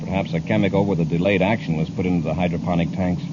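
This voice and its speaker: Man playing a brisk, fast-talking space commander. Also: deep voice, fairly even intonation, natural voice. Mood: businesslike, alert. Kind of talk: 1950s radio adventure acting. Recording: noisy muffled old radio recording.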